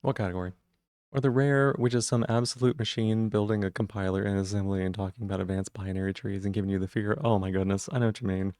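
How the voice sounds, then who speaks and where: Mellow-voiced streamer chatting with viewers. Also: male voice, fairly even intonation, fast talker, no room sound, clean studio sound. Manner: friendly, greets chat members by name, jumps up in pitch on greetings. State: rough voice. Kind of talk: casual chat with viewers.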